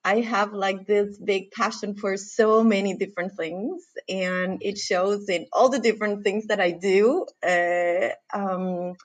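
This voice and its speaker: A woman's voice: mid-range voice, steady tone, measured pace, hardly any pauses. A middle-aged woman from Costa Rica, a guest in a casual podcast interview, talking with eager enthusiasm and many fillers.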